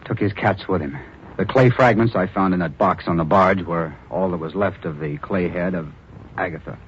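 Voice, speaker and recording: An actor playing a young male reporter, an orphan with a haunted past, speaking gravely in a 1940s radio drama. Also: sits low, normal speed, a little hiss or hum, natural voice. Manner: grave and subdued, rising to pleading. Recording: dull old radio recording.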